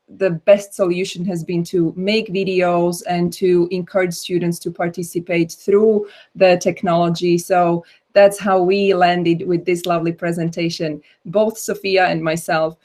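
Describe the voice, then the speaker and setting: A woman with a fairly low voice presents an online workshop for teachers. She talks in a chatty way, laughs easily and often restarts her sentences. She sounds like she is on a computer mic during a video call. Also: darkish tone. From Slovakia. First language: Slovak. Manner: chatty, laughing, self-correcting. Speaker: English instructor and student engagement coordinator.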